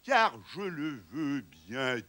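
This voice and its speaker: A man's voice: fairly high pitch, big pitch swings, takes it slowly, pushed and bright, full character voice. A man playing a pompous, greedy, grotesque tyrant in a theatrical play reading, ranting in mock Latin and trading curses.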